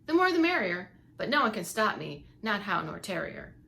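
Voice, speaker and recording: A woman's voice: mid-range voice, sing-song, normal speed, vocal fry, pushed and bright, slight background noise, exaggerated voice. A woman voicing a spirited, boastful gingerbread girl in a children's storybook, chanting rhymes in a sing-song way. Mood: gleeful, taunting. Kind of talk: children's storybook read-aloud.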